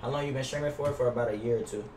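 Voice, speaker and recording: A man's voice: medium pitch, racing. A young American man streaming a game, chatting in casual slang with hyped, repeated phrases. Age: young adult. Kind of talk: casual slangy in-game chat and livestream banter. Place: indoor room at a gaming setup. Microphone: gaming headset. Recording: roomy, noisy gaming headset, car sounds.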